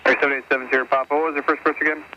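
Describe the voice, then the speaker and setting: A man's voice: on the high side, rapid-fire, dull audio. A man working as an air traffic controller, giving businesslike instructions over aircraft radio. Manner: flat, businesslike. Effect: aircraft radio transmission.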